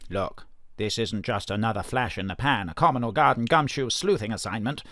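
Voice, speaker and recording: An actor playing a brisk male spymaster boss who rattles off stock phrases in a remote audio drama. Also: lowish voice, fairly bright tone, dry recording, clean studio sound. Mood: pleading.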